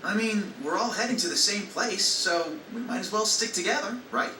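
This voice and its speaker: A man's voice: sits high, normal speed, bright, forceful tone, slight background noise. A man playing an easygoing, reassuring teenage boy adventurer in a video game dub. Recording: distant roomy game audio, faint music.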